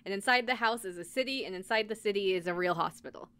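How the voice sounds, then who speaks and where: A woman's voice: medium pitch, quick pace, sharp tone, clean tone. A woman podcast host giving a casual, chatty recap, speaking conversationally with lots of filler "like". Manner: conversational, peppered with "like".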